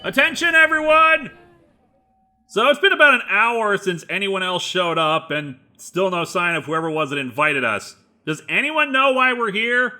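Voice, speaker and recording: A man's voice: high-pitched, lively intonation, moderate pace, pushed and bright, full character voice. A man playing the take-charge leader of a group of friends in an amateur horror comedy, speaking emphatically and giving directions. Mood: announcing, jovial.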